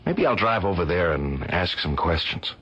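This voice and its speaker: An actor playing an earnest, curious young student, polite and a little hesitant, in a radio drama. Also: male voice, low voice, lively intonation, moderate pace, a bit bright, slightly rough, exaggerated voice. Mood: intent, curious. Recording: muffled old radio drama recording.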